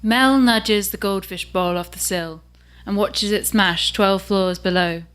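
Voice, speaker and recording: A woman's voice: medium pitch, a bit bright, clean tone. Woman reading fiction aloud. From England. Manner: measured and unhurried. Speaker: author reading her own work.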